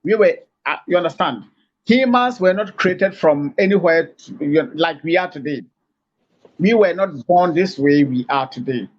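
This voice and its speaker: A man from Africa speaks through a muffled, lo-fi call line, his voice sitting a little high. He argues slowly in a lecturing way, stopping often to restart his sentences. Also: animated. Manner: hesitant, many fillers and restarts. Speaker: caller. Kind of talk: halting lecture-like argument.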